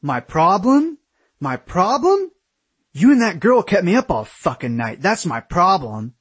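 An indignant young man played by a male narrator scolds loudly in a raised, high-pitched voice. He sounds exasperated and repeats himself as he complains, like a character in an audiobook story. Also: very animated, a little slow, full character voice.

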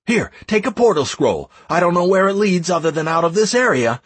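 A commanding young battle leader , voiced by a male narrator, pitched up and urgent, barks orders with a rough edge to the voice. The delivery swings forcefully, in a fantasy audiobook style. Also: wildly swooping pitch, a little fast, exaggerated voice.

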